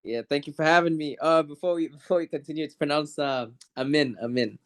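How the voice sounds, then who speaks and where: A young man from Morocco, a musician guest on an interview show, talking in a relaxed, rambling way and laughing easily. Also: on the high side, a little fast. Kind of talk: casual interview.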